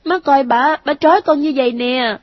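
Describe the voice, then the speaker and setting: A woman's voice: high voice, moderate pace, dry recording, lo-fi sound, pitched above the performer's own voice, lightly acted. A woman voicing the ghost of a dead little boy, clingy and pleading in a childlike whine.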